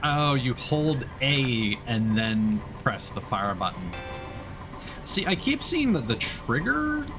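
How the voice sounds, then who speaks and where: A man's voice: medium pitch, very animated, slow pace. A man giving casual let's play commentary as a streamer, rambling playfully and thinking aloud. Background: game music.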